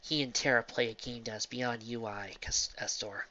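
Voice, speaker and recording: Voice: medium pitch, fairly even intonation, moderate pace, close to an everyday voice. Regal pony princess, grave and sorry, storybook voice, a bit lo-fi, as a reader puts her on. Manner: grave, pleading, sometimes hushed.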